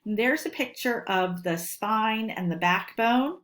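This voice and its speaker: A woman teacher reading a lesson aloud to children, speaking deliberately and instructively and stressing key words. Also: a little high, expressive, a bit bright. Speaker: elementary school teacher.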